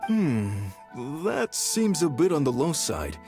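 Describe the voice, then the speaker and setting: A proud, welcoming restaurant chef in a game. His voice sits a little high and moves lively up and down as he talks up his cooking. Soft game music plays behind. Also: slow pace, exaggerated voice. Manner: hospitable, proud, chuckling.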